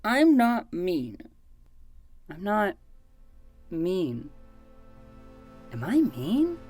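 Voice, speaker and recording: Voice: medium pitch, wildly swooping pitch, very slow, a little mellow, faint music behind, heavily acted. An actor playing a stubborn, indignant twelve-year-old boy who plays detective in a scripted fiction podcast, arguing with self-important petulance. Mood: sullen, wounded.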